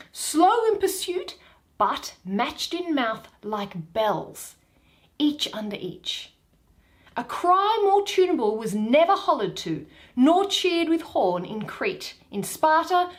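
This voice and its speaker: A proud, commanding duke, acted out by a woman, declaiming Shakespearean verse. The voice is high-pitched and highly animated, stressing words emphatically at an unhurried pace. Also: exaggerated voice. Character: noble male duke, proud hunter, commanding.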